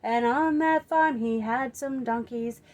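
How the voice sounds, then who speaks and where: Storytime presenter: woman, high voice, unhurried, playful and expressive for young kids. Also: lo-fi sound. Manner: playful, expressive, drawn-out emphasis. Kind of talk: children's storytime singing and storytelling.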